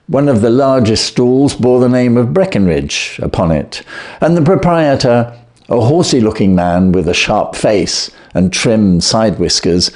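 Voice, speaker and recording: Male audiobook reader, lowish pitch, lively intonation, measured, expressive, scripted story narration.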